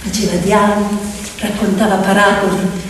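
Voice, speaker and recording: A woman's voice: medium pitch, distant mic. Echoey woman storyteller. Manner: expressive, dramatic, deliberate phrasing. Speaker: stage storyteller and actress. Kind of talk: theatrical narrative storytelling. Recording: echoey hall from afar, faint noise.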